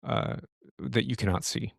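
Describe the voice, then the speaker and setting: A man acting as game master, improvising details in casual, halting speech with some swearing. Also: fairly low pitch, creaky, a bit bright, no room sound, studio quality. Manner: halting, profane. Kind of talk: improvised tabletop game narration and casual chat.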